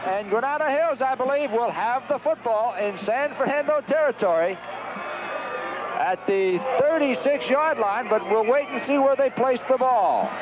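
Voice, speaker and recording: A muffled 1970s radio broadcast carries a male American sports announcer. He calls football plays live with urgent excitement in a strikingly high-pitched voice. Heavy noise and crowd roar surround him. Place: outdoor football stadium. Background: loud stadium crowd and noise.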